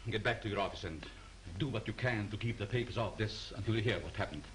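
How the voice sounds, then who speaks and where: Brief: a man as a lower-voiced studio manager barking orders, on a faintly hissy old film soundtrack. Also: fairly bright tone, natural voice, rough recording. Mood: commanding. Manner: brisk, commanding orders. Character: authoritative male studio manager, brisk and in control. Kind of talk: 1930s film acting.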